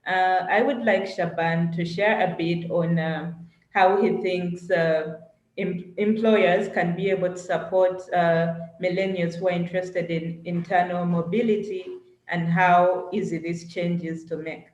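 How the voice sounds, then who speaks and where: A woman's voice: on the low side, fairly even intonation, unhurried, a little mellow. Female host, chatty with fillers, dull lo-fi roomy recording. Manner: conversational, many fillers. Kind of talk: panel discussion.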